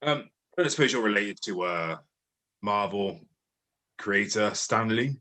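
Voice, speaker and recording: Low voiced guy hosting a chat show, dry and kinda fed up, bright edge to it. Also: animated, unhurried. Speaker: podcast host. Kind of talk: casual interview chat.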